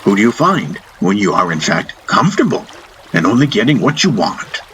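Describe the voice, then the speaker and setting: A man's voice: fairly low pitch, very animated, moderate pace, fairly bright tone, a little hiss or hum, rough recording. A man voicing an eccentric, teasing spiritual master in a fantasy audiobook, preaching emphatically in rhetorical questions and lessons. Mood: teasing, challenging. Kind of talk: audiobook character voice. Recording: music under the voice.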